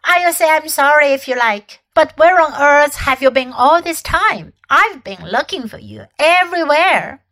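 A woman's voice: high voice, lively intonation, a little slow, hardly any pauses, fairly bright tone, pitched above the performer's own voice. A woman voicing a sulky, greedy schoolboy who pleads and snaps in an audiobook. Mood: snappish. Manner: pleading, snappish. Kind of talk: audiobook character voice.

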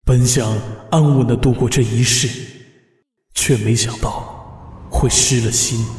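A man's voice: mid-range voice, fairly even intonation, unhurried, a little mellow, lightly acted. A man playing the solemn, literary narrator of a Chinese martial-arts audio drama, with echoing reverb. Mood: solemn, wistful. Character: solemn male storyteller of a martial-arts tale. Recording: very echoey reverb under music.

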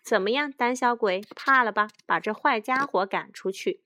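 A woman voicing a hot-headed, defiant young rooster in a children's story, shouting angry taunts. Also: a little high, normal speed. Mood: taunting.

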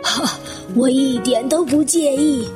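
Elderly widow played by a woman, courteous and self-effacing, high and unhurried, reverberant radio drama. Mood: courteous, inquiring. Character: polite elderly widow, modest, gracious hotel guest. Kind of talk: Chinese radio drama acting. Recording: long reverb, music underneath.

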